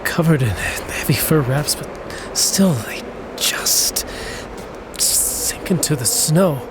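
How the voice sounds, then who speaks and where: A man playing a weary, anxious armored adventurer in an audio drama, halting and stammering in a breathy voice as he thinks aloud. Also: on the high side, animated. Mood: exhausted, struggling. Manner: halting, stammering, gasping with effort. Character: weary, anxious armored male adventurer struggling through snow. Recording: loud wind and blizzard noise.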